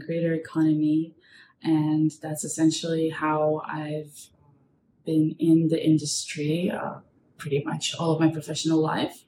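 A young woman from Russia speaks as an interview guest in a conversational way. Her voice is quite low for a woman. The recording is echoey, with faint noise in the background. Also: warm tone. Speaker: podcast guest, marketing professional.

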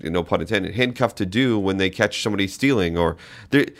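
A man with a low, deep voice delivers political commentary as a podcast host. He talks in a monologue and stresses his points emphatically, often repeating a phrase to drive it in. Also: fairly bright tone.

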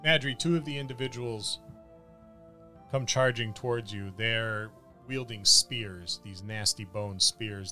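Man, game master, low bright voice, halting descriptive battle narration, music behind. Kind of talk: improvised narration of a tabletop fantasy battle.

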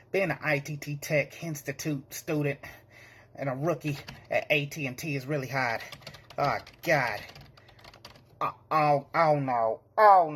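A man's voice: medium pitch, a bit bright, lightly acted. A man playing a nervous, bumbling young tech-support rookie, hesitant, apologetic and stammering, in a comedic monologue. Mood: flustered, sheepish. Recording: clearly noisy background, keyboard clicks.

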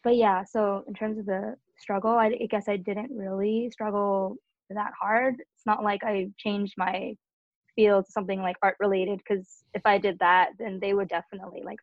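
A woman's voice: medium pitch, flat delivery, moderate pace, creaky, no room sound, phone-quality sound. A young woman talking casually on a podcast, chatty, with frequent fillers. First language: Cantonese and English. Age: young adult.

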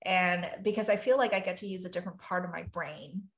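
A woman's voice: on the low side, fairly even intonation, brisk, fairly bright tone, echoey room. A woman from Taiwan, a physician and life coach, talking earnestly and thoughtfully as a podcast guest, often saying "you know" and "really". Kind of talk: reflective podcast conversation.

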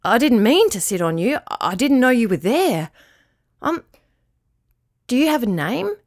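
A woman voicing a friendly old male dog in a children's story, speaking gently, politely and reassuringly. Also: a little high, sing-song, normal speed. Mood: surprised, polite.

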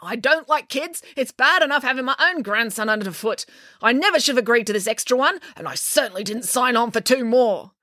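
A woman's voice: a little high, animated, a little fast, pushed and bright, no echo, clean studio sound, pitched above the performer's own voice. A woman voicing a grumpy, snappy old woman with a curt, bossy and blunt way of talking. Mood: angry, snapping. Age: older.

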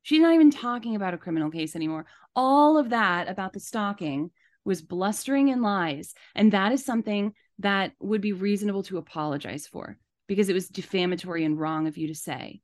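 A woman's voice: medium pitch, animated, a little fast. Emphatic, indignant woman podcast co-host. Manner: emphatic, indignant, repeats points for stress. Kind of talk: casual opinionated discussion.

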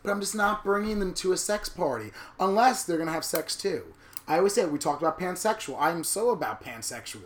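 A man in his thirties co-hosting a candid casual chat, blunt and animated. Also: high voice, fairly quick. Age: 30s. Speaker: podcast co-host. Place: New York.

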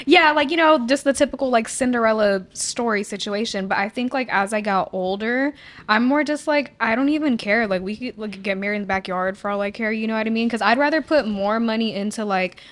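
A young woman chatting casually on a podcast, animated and full of fillers. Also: a little high, fairly quick. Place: podcast studio.

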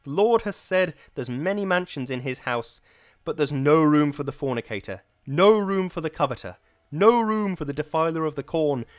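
A man's voice: lowish voice, expressive, brisk, hardly any pauses, darkish tone, no room sound, studio quality. A man voicing a fiery young male radio preacher who bellows his words in a pumping, driving cadence. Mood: bellowing, fervent.

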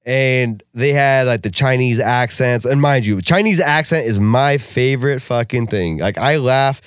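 A young man co-hosting a podcast, talking casually with animated, profane rants. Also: mid-range voice, unhurried, clean tone, dry recording, studio quality. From Russian family background. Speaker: podcast co-host.

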